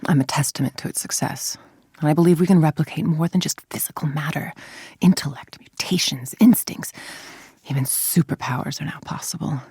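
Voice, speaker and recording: A woman playing a cold, calculating villain scientist-executive in a video game, speaking in a measured, imperious, menacing way with a husky, creaky voice. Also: low voice, mellow, no echo, whispered, clean studio sound. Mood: menacing, confident. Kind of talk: scripted dramatic video game monologue.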